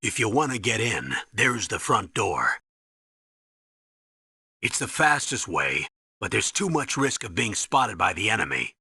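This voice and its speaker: A commanding officer with a very low, raspy voice. He gives mission briefings firmly and with measure, in a forceful, close and dry game recording. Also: big pitch swings, dry recording, full character voice. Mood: advising. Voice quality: rough, husky. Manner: firm, measured, instructive. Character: authoritative male military commander briefing his agent. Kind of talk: video game voice acting.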